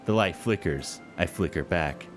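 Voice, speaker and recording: A shy, sullen teenage girl from a visual novel, played by a man. The voice is dark and low, bookish and gloomy in temper, with music playing behind it. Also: close to an everyday voice. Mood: somber. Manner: stammering when nervous, otherwise measured and bookish.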